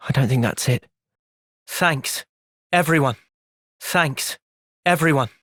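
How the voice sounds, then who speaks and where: A man's voice: medium pitch, very slow, long pauses, a bit bright, no echo, studio quality. A man playing an earnest, thoughtful young soldier hero with a British accent in a video game. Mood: gentle, reflective. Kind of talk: video game cutscene voice acting.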